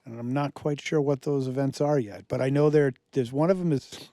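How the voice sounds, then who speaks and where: A male musician guest talking casually in a radio studio, halting with many fillers and restarts. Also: medium pitch, dark tone, dry recording.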